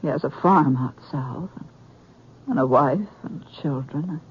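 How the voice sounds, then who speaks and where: An actress playing a grieving, forgiving American frontier woman in a radio drama, speaking in a grave, halting way. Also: extremely low pitch. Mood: uneasy. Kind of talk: 1950s radio drama acting. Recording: muffled, noisy old radio broadcast.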